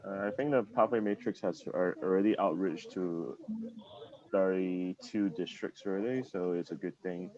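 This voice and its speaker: Very deep-voiced man stammering on a call. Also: moderate pace, a little mellow, lo-fi sound. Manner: stammering, repeats words. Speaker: workshop co-developer. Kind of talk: casual online meeting talk. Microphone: video call.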